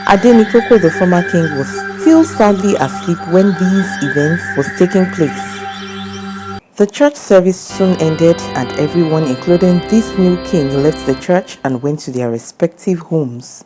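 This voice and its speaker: A woman storyteller with a Nigerian accent reads a folktale from a script. Her voice is low-pitched, and music plays prominently behind it. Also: lively intonation, normal speed.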